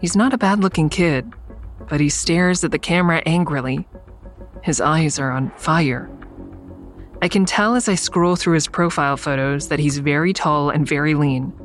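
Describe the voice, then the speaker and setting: An actress playing an observant investigator who narrates in a measured, descriptive way in an audio drama. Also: female voice, deep voice, faint music behind, natural voice. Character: observant woman narrating an investigation in first person.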